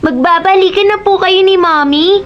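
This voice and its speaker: A woman's voice: very high-pitched, normal speed, dull audio. A woman playing an innocent, polite young boy in a radio drama, with a childlike, pleading way of talking. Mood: happy, hopeful. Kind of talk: Tagalog radio drama acting.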